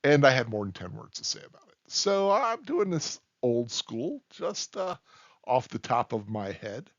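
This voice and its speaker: Male reviewer, slow and halting delivery, off-the-cuff ramble with emphatic stresses, slightly dull recording. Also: mid-range voice, very animated, no echo. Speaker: film reviewer. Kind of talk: unscripted rambling review to the listener.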